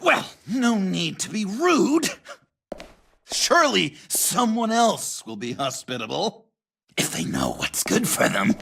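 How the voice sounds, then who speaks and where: A man voicing a manic, theatrical clown villain with a creaky voice and gleeful menace in a video game. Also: high voice, huge pitch swings, takes it slowly, sharp tone, heavily acted. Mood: mock-friendly menace. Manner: theatrical, mock-friendly, cackling.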